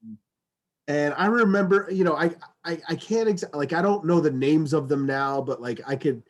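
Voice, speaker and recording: A man's voice: fairly high pitch, moderate pace. A middle-aged man co-hosting a comedic podcast, casual and sweary, repeating words as he feels his way through sentences. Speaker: podcast co-host.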